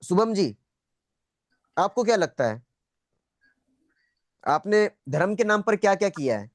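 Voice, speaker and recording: A man's voice: sits high, sing-song, measured pace, no room sound. A man teaching an online spiritual class in a conversational, repetitive way, putting questions to students and often checking that they follow. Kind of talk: interactive lecture, questioning students. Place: online video call.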